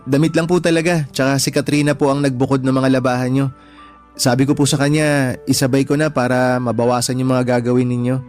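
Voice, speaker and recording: A man's voice: medium pitch, little pitch movement, brisk, a little mellow, faint music behind, natural voice. A man acting in a radio drama as a meek young son-in-law, respectful, earnest and pleading. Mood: reassuring. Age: young adult.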